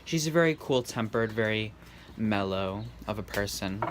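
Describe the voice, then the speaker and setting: A man giving a casual tarot reading in a calm, serene, monotone way. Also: lowish voice, unhurried. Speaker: tarot reader. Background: faint background noise.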